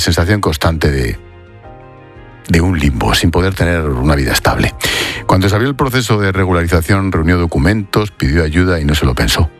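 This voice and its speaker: A man presenting the news in a measured, formal way and interviewing a guest live. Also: deep voice.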